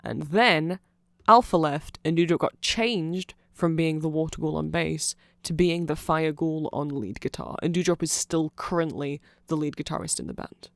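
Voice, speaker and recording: Mid-pitched androgynous voice, nerdy fan host, casual rambling ASMR, chatty, self-correcting, very dry recording. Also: moderate pace, vocal fry, hardly any pauses, dry recording. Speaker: host explaining band lore to the listener.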